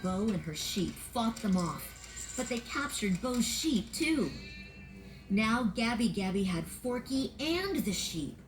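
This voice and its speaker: Woman narrator, storybook read-along, expressive, lively low-ish voice, faint music. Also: slow.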